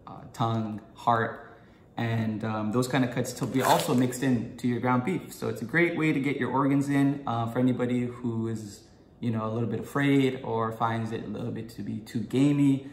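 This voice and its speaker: A man's voice: medium pitch, fairly even intonation, moderate pace, reverberant. A man in his twenties hosting a casual food vlog from his home kitchen, chatty and enthusiastic, with many "um"s. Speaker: food vlogger, guest host.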